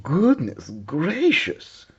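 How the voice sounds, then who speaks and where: A man's voice: high-pitched, wildly swooping pitch, very slow, breathy edge, lo-fi sound, voice pushed up, heavily acted. A man voicing a shocked, principled grey wolf in a storybook read-aloud, prim and emphatic.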